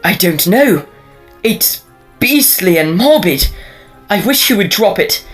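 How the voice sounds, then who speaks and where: A woman's voice: medium pitch, sing-song, takes it slowly, soft background music. A woman playing an anxious, well-meaning young student in a Victorian audio drama, earnest, with old-fashioned diction.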